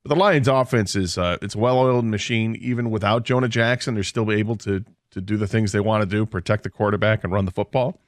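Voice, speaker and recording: A man's voice: fairly low pitch, fairly even intonation, fast, hardly any pauses, no room sound, clean studio sound. A man co-hosting a sports radio show, breaking down a football game in a casual, analytical way. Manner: analytical, confident picks. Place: radio studio.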